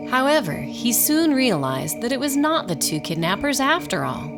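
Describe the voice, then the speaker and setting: A woman narrating a scripted radio drama in an expressive storybook style. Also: fairly high pitch, normal speed, music in the background.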